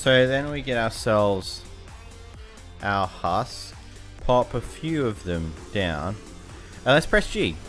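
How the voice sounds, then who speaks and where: A man's voice: lowish voice, animated, slow, long pauses, fairly bright tone, soft background music. A young Australian man casually commenting on a video game, chatty and self-mocking, tripping over words as he reads. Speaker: gaming YouTuber.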